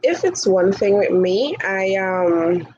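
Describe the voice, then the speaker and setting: A woman's voice: mid-range voice, expressive, slow pace, darkish tone, muffled recording, slight background noise. A woman in her twenties from Tobago with a Tobagonian accent, talking outspokenly and laughing often in a casual interview. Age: 20s. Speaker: interview guest, hall chair.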